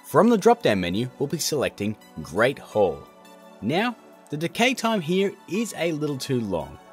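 Lively, informal male tutorial host. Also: fairly high pitch, moderate pace, faint music behind. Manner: chatty, informal, jokey. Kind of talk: casual software tutorial narration.

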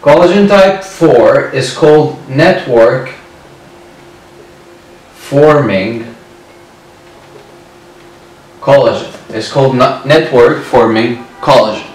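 A man in his twenties giving a whiteboard lecture on histology, speaking slowly and repeating key terms. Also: medium pitch. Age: 20s. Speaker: medical lecturer. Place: indoor room at a whiteboard. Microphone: far camera. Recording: very echoey room, distant mic.

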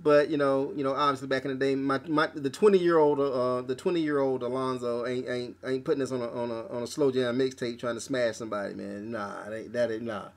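A man hosting a casual album review podcast, talking haltingly with lots of fillers and restarts. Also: mid-range voice, fairly even intonation.

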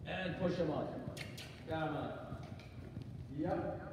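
A man's voice: a little high, slow pace. A middle-aged man coaching a rider live, giving short encouraging instructions and patient explanations. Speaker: riding clinic instructor. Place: large indoor riding arena. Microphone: spectator's camera at some distance. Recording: echoey hall, distant mic, loud background noise.

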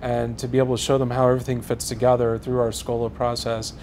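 A man's voice: mid-range voice, little pitch movement, fast talker, darkish tone, slight background noise. Man explaining a corporate program. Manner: corporate, explanatory, some fillers. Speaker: panelist. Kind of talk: panel discussion.

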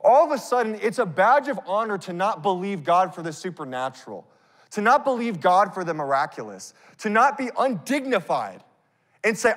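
A man in his thirties preaching on a church stage into a handheld mic, telling a story in a casual, animated way. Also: high voice. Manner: animated, acts out scenes, casual fillers. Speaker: pastor. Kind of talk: sermon storytelling. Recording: long reverb of a big hall.

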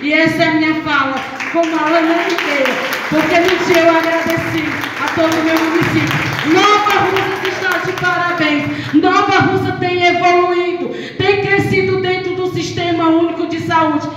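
A woman's voice: very high voice, steady tone, normal speed, over a noisy crowd, very noisy. A middle-aged woman, giving an impassioned testimonial speech at a public health event in a large hall. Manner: impassioned, testimonial, raising voice at peaks. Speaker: health council member. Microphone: handheld mic, filmed from far off through speakers. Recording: echoey hall, far from camera.

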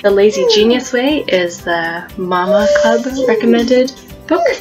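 A woman in her thirties talks casually to camera, explaining things in a hesitant way with thoughtful pauses. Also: medium pitch, lively intonation, over loud music. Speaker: homeschooling parent and video host. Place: small plain room at home, indoors.

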